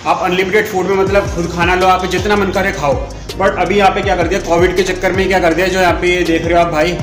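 A man's voice: high-pitched, level tone, fast, music in the background. A young man giving a casual, chatty food vlog tour of a small restaurant, filming on a phone held at arm's length. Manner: chatty, addresses viewers as brother. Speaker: food vlogger. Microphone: handheld phone at arm's length.

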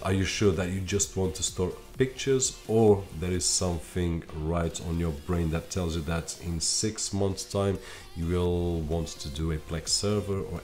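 A man with a very low voice and a Portuguese first language talks viewers through tech gear from his home office desk. He is chatty and direct, with plenty of fillers, in his thirties. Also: darkish tone. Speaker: tech reviewer. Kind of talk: tech review to camera.

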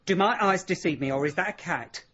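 An actor playing a sarcastic, theatrical sidekick, speaking cattily in a radio drama. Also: male voice, sits high, a little fast, sharp tone, muffled recording, a little hiss or hum. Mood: catty. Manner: sarcastic, catty. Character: catty, sardonic, theatrical male PR sidekick. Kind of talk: British radio drama acting.

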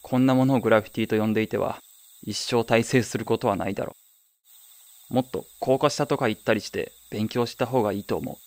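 A man's voice: lowish voice, fast talker, no echo, close to an everyday voice. A man playing a wistful, wry young man who narrates his own story in a radio drama. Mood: dry, indignant.

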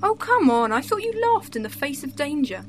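Woman doing a sardonic Time Lady, high lively voice, crisp scolding, faint music behind. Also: brisk, a little hiss or hum. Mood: impatient. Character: brisk, impatient female Time Lady companion.

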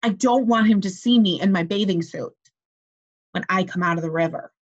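A woman's voice: medium pitch, normal speed, lo-fi sound, close to an everyday voice. A woman voicing a fussy, easily embarrassed male toad in a children's storybook. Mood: worried.

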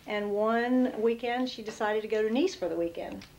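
A middle-aged woman answering interview questions at home, soft and halting, pausing often. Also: on the high side, slight background noise, low-quality recording. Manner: soft, halting, speaks slowly with pauses. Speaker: interviewee, grieving mother. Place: indoors at home.

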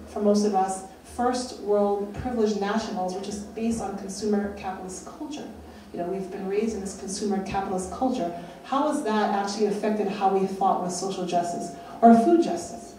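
A woman's voice: medium pitch, warm tone. A young woman giving a keynote talk from a stage, earnest and questioning, pressing her points with rising emphasis. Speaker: keynote speaker. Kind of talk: public lecture. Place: dark stage at a festival, indoors. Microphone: handheld mic on a stand. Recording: roomy hall from a far camera, audience.

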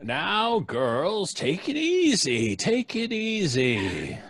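A man playing a folksy, unhurried small-town doctor, halting and soothing, in old-time radio drama style. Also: high-pitched, wildly swooping pitch, fairly bright tone, a little hiss or hum, heavily acted.

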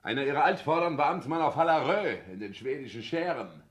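An actor playing a pompous, calculating husband who boasts and lectures in a declamatory 1960s radio-play manner. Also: male voice, on the high side, animated, brisk, close to an everyday voice. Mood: boastful, animated.

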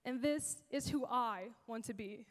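A woman's voice: on the high side, a little slow, fairly bright tone, in a large hall. A teenage girl reciting a spoken word piece in an earnest, rhythmic way on a church stage into a handheld mic. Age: teens. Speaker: teen performer. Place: church stage, large hall.

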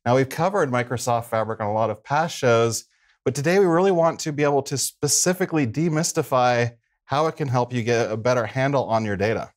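A man's voice: mid-range voice, brisk. A man in middle age hosting a tech show, presenting in a scripted style from a studio desk. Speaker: show host.

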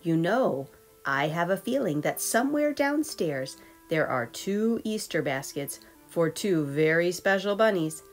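A woman's voice: lowish voice, animated, unhurried, soft background music. Woman reading as a playful Papa rabbit.

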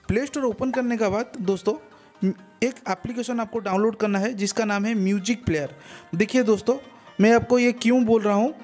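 A man's voice: sits high, a little mellow. A man narrates a screen tutorial as the host. He goes step by step in a repetitive, familiar way, addressing listeners as friends. Music plays behind his voice. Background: background music.

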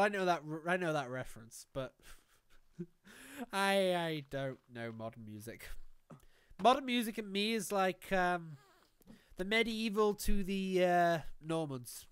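A young Welsh man with a Welsh accent, streaming a survival game from a small room at home on a headset mic, excitable and joking as he talks to the game and to chat. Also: high voice, clear, resonant tone, no room sound. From Wales. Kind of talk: live gameplay commentary.

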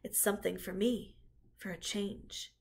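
A woman voicing a gentle, wise female spider who speaks softly and low, often in a whisper, in a read-aloud storybook. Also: fairly even intonation, a little slow, gravelly, a little hiss or hum. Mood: tender hushed.

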